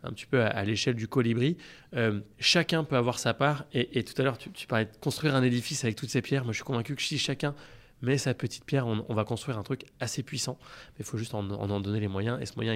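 A man's voice: medium pitch, quick pace. Earnest man with frequent restarts. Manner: earnest, many restarts and repeated words. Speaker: podcast guest, company founder. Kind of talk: casual podcast talk.

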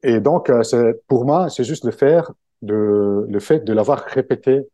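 A man from Italy speaks French with an Italian accent in a relaxed interview, pausing often on fillers and restarting his sentences. His voice is mellow and dark, and the sound is dry and close with no room echo. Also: medium pitch. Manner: hesitant, many fillers and restarts. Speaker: guest, book-summary creator. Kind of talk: casual interview conversation.

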